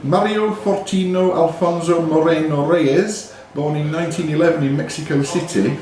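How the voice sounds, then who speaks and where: A man acting as quiz master, announcing questions deliberately and giving curt rulings. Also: a little high, fairly even intonation, darkish tone, slight background noise. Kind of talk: reading out pub quiz questions. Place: indoor pub function room. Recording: very echoey pub room, audience chatter.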